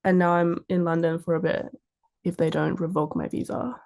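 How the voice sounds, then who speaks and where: A woman's voice: lowish voice, flat delivery, moderate pace, vocal fry, darkish tone. A young woman with an Australian accent, chatting casually as an interview guest and telling stories in an informal way. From Sydney, Australia. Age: young adult. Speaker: fashion model, interview guest.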